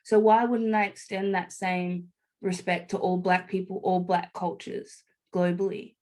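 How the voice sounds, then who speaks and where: A woman's voice: on the low side, little pitch movement, a little slow, darkish tone. A woman guest who co-hosts a radio show, speaking reflectively and fluently on a live video call. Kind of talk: live online conversation.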